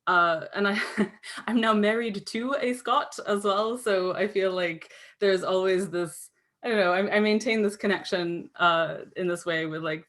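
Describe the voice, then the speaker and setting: A woman's voice: fairly low pitch, a bit bright. A woman with a Canadian accent, a guest author answering interview questions in a rambling, self-interrupting way, tired from too little sleep. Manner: rambling, self-interrupting, many fillers and restarts.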